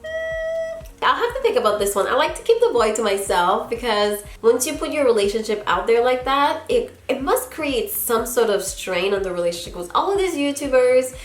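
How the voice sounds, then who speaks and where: A woman's voice: high-pitched, sing-song, roomy sound, soft background music. A young woman from Haiti chatting casually to camera as a YouTuber, answering viewer questions with frequent laughs. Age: young adult. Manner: chatty, laughs often, drawn-out "girl" asides. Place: small indoor studio in front of a plain backdrop.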